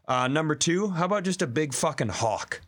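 Animated man bantering on a comedy podcast. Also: a little high, a little fast. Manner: profane, animated storytelling.

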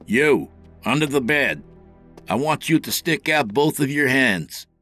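Man voicing a firm, low-voiced police officer. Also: normal speed, soft background music, natural voice. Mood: stern command. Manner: firm, authoritative. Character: commanding, dutiful male police officer with a gun.

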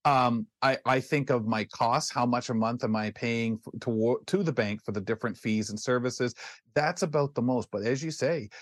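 Male radio co-host, Canadian accent, mid-pitched, casual and conversational, very dry sound. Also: moderate pace, no echo.

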